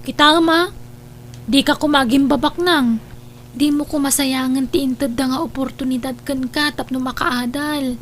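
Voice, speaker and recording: Woman acting high-voiced, worried young student. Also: steady tone, a little slow, a little hiss or hum. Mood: scolding. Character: dutiful, worried young student, nagging her brother. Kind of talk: Ilocano radio drama acting.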